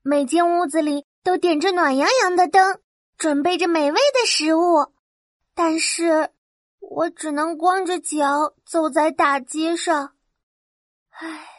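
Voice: very high-pitched, steady tone, slow pace, no room sound, clean studio sound. A performer voicing a poor, cold, hungry little match-selling girl in a children's storybook, pleading and exclaiming. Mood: longing, then sad.

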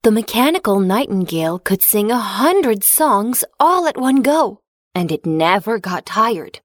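A woman's voice: mid-range voice, big pitch swings, measured pace, no room sound, clean studio sound. A woman narrator reading a children's fairy tale as scripted storybook narration.

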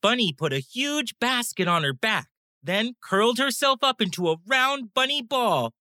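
A woman narrating a children's bedtime story in an expressive storytelling manner. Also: on the high side, normal speed, dry recording, studio quality.

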